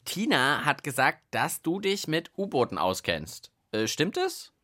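A man's voice: on the high side, wildly swooping pitch, unhurried, pushed and bright. A man chatting playfully and casually as co-host of a children's science radio show.

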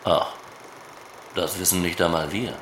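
A man's voice: deep voice, fairly even intonation, measured pace. A man playing a wary, reproachful Soviet KGB officer in a dramatised dialogue, questioning tersely. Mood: probing, reproachful. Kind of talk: dramatised reading of a literary dialogue. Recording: roomy sound with loud ambient noise.

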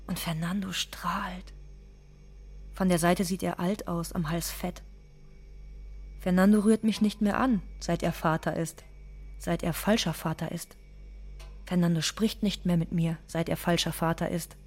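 An undocumented mother in a radio drama, guarded and quietly hurt. Her voice sits low and has a husky grain. She speaks in short, plain sentences that circle back on themselves. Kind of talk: literary monologue in a radio play.

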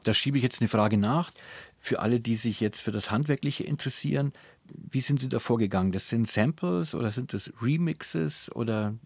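A man's voice: medium pitch, moderate pace, vocal fry, darkish tone, no echo. A man hosting a radio interview in a polite, formal manner.

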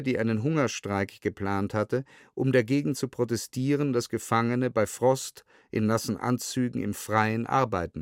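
A man's voice: medium pitch, dry recording. Man narrating soberly in a dry studio. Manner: measured, sober, precisely enunciated. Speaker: narrator reading historical documents. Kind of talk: scripted documentary audiobook narration.

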